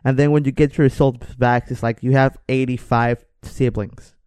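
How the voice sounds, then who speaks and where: Clear-voiced young man, joking and slangy. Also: medium pitch, steady tone, normal speed, a little mellow, no room sound. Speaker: podcast co-host. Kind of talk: casual chat.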